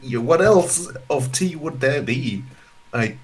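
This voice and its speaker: A man's voice: medium pitch, animated, takes it slowly, a crowd in the background. A man in casual banter, chatting along and teasing with short questions.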